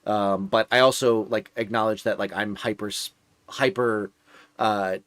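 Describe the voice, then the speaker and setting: A man's voice: on the low side, fairly even intonation, measured pace, a bit bright, no echo. A young man with a slightly nasal voice streaming live, chatting casually and rambling with lots of filler words and restarts. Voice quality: a bit nasal.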